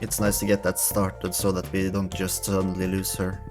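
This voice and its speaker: Low-voiced male gamer over music. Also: monotone, moderate pace. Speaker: gamer on a group stream. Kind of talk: casual chat while gaming. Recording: music behind the voice.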